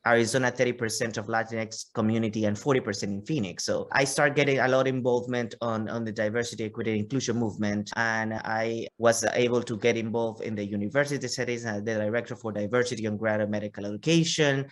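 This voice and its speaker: A man's voice: fairly low pitch, fairly even intonation, fast talker, few pauses. A man with a Panamanian Spanish accent giving long, conversational interview answers, often repeating words as he goes. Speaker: interview guest, physician.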